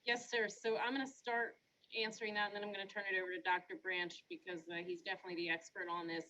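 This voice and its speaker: Woman police chief with a medium-pitched voice. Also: flat delivery, quick pace, pushed and bright, low-quality recording. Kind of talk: answering questions in a virtual meeting.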